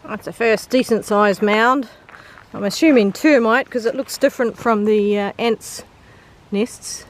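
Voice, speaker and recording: A woman's voice: fairly high pitch, unhurried, slight background noise. A woman with a mild New Zealand accent, chatting casually to her camera while walking a bush trail outdoors, laughing often. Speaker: hiker vlogger. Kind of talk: casual narration while hiking.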